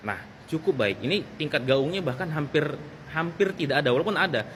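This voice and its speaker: A man's voice: on the high side, animated, fairly quick, fairly bright tone. Man explaining casually over background noise. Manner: repeats phrases for emphasis, conversational. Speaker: sound technician and video host. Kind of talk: casual explanatory vlog talk.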